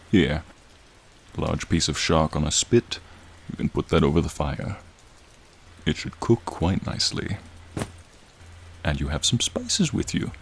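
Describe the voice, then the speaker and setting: A man voicing a friendly, charming frost dragon in a soothing, courtly, admiring manner, in an ASMR roleplay with rain behind him. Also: exaggerated voice. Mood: warm, welcoming. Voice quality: low, gravelly, soothing. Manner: courtly, leisurely, warmly attentive. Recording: steady rain sounds behind the voice. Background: rain falling.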